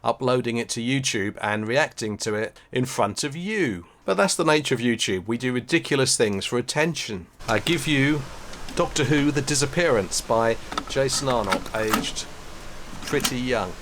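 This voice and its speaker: A man's voice: medium pitch, moderate pace. Middle-aged English man over background noise. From Suffolk, England. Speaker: YouTube host. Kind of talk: casual reaction commentary.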